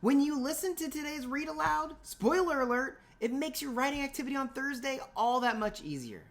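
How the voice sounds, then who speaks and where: A woman teacher with a mid-pitched voice delivers an informal video lesson. Her intonation is very lively, swinging up and down. She talks in a chatty, playful way and drops in emphatic asides. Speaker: middle school language and literature teacher.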